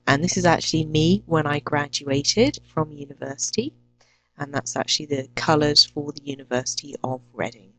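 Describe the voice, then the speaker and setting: English woman, 30s, teacher; low pitch, measured, clear delivery. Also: steady tone, fairly bright tone, poor audio. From England. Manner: clear, deliberate, lists items one by one. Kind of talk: self-introduction to a teaching channel.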